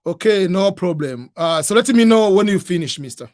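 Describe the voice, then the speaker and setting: A man hosting a livestream and answering viewer questions in a relaxed, rambling way. His voice sits a bit high for a man. He keeps adding "whatever" as he talks, and the sound is very dry and close to the mic. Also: normal speed, no echo. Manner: informal, peppered with "uh" and "whatever". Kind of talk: casual advice chat.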